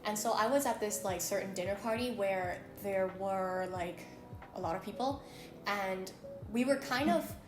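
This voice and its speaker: A woman's voice: medium pitch, normal speed, echoey room, music in the background. A woman chatting casually and thoughtfully as a co-host, with many fillers. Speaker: co-host of a beauty channel talk. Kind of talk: casual chat.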